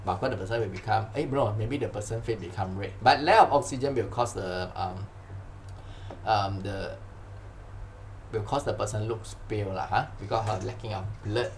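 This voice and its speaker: A man's voice: on the low side, normal speed, gravelly, a little hiss or hum. A man with a Malaysian accent teaching science informally, repeating phrases and tagging sentences with "lah" and "huh". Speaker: science tutor. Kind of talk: informal exam-question lesson.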